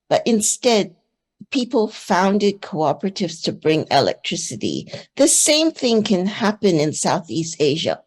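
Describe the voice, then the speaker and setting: Earnest woman speaking on a panel. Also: mid-range voice. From Singapore. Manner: earnest, persuasive. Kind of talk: conference panel talk.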